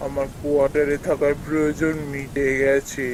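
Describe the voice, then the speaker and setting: A man's voice: a little high, completely flat delivery, moderate pace, a little mellow, slight background noise, natural voice. A man playing the sorrowful ghost of an office colleague in a cartoon story, speaking in a familiar, cautioning, brotherly way. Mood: solemn confession. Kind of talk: dramatic cartoon voice acting.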